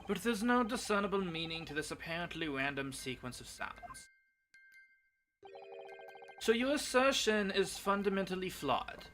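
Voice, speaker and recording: A streamer playing a haughty prosecutor, sneering, lively pitch, bright tone, faint music behind. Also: normal speed. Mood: scornful. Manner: lofty, sneering courtroom speech. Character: haughty male British prosecutor. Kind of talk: fan dub of a game livestream.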